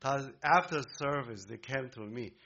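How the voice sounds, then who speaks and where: Korea-born male pastor, slow and bright, emphatic sermon, dull lo-fi recording. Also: mid-range voice, a little hiss or hum. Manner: emphatic, with halting restarts.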